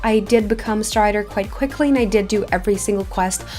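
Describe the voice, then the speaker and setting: A woman giving casual, chatty and expressive gameplay commentary as a video creator. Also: mid-range voice, fairly quick. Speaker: video game YouTuber. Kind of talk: casual gameplay commentary, reading quest dialogue aloud. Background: background music.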